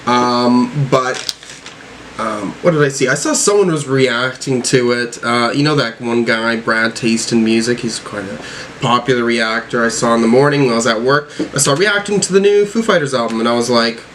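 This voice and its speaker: A man giving casual, off-the-cuff reaction commentary as a music reviewer, talking in a halting, rambling, slangy way with the odd swear word. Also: medium pitch, fairly bright tone, slight background noise.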